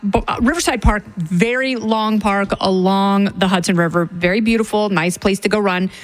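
Female radio guest, mid-pitched, conversational and wry, casual back-and-forth commentary. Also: fairly even intonation, moderate pace. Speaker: talk show guest. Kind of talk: casual political talk radio banter.

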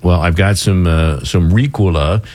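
A man hosting a morning radio show, chatting casually while hoarse from a cold, rambling with lots of fillers. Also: low voice, darkish tone. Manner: rambling, halting, with many fillers. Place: radio studio.

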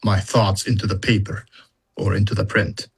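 A man who speaks English as a second language, explaining things as an educator in a measured interview conversation, using examples. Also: fairly low pitch, flat delivery, vocal fry, breathy edge. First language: not English. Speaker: university educator in data analytics.

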